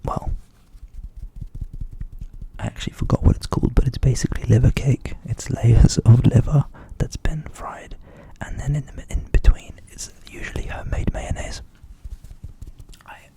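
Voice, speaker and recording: A young man who grew up in England with Ukrainian as his first language, whispering softly as an ASMR host answering viewer questions and thinking aloud. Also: mid-range voice, steady tone, normal speed, gravelly, pauses often, rough recording. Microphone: studio USB microphone.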